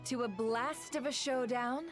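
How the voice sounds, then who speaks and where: An actress playing a poised, shrewd, teasing hostess in a video game. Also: female voice, a little high, unhurried, faint music behind, natural voice. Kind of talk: video game character acting.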